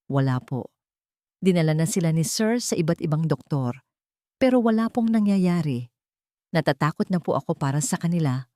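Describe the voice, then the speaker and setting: A woman's voice: deep voice, mellow, no echo, studio quality. A woman with a Filipino accent voicing an anxious, respectful female household cook in a story.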